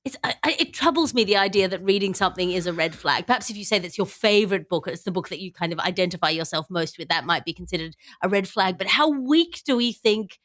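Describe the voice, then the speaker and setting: A woman's voice: medium pitch, animated, fast talker, hardly any pauses, fairly bright tone, dry recording, studio quality. A woman hosting a comedy panel podcast, chatting in a wry, digressive and mock-grandiose way. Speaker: podcast host.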